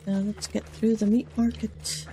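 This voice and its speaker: A man hosting a gaming channel, chatting casually through a playthrough while his voice is rough from sinus trouble. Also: sits high, flat delivery, measured pace, warm tone, slight background noise. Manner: chatty, talks to the game and himself. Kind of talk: casual game commentary.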